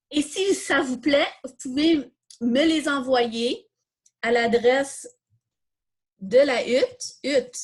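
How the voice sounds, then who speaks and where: A woman with a Quebec French accent hosting a live online coding workshop, informally thinking aloud and answering questions as she demonstrates. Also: a little high. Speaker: workshop host.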